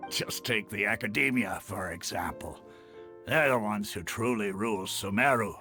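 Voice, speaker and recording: An actor playing a frank, hearty older retired mercenary advisor in a video game, plain-spoken. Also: male voice, fairly low pitch, moderate pace, pushed and bright, soft background music. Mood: frank, explanatory.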